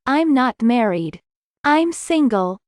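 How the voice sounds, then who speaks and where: A woman reading scripted English lesson dialogue, slowly and clearly, in carefully spaced sentences. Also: sits high, big pitch swings, no echo, studio quality.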